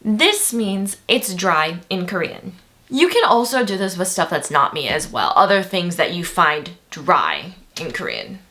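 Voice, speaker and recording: A woman's voice: on the low side, very animated, unhurried, pushed and bright. A woman presenting a chatty, playful video lesson, speaking straight to her viewers. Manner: chatty, playful, addresses viewers as "you guys". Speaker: language teacher.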